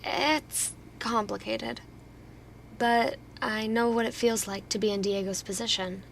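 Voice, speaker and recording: A woman's voice: a little high, fairly even intonation, a little slow, creaky, fairly bright tone, slight background noise. A woman playing an earnest, wounded but hopeful helper in a naturalistic audio drama. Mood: subdued, hopeful.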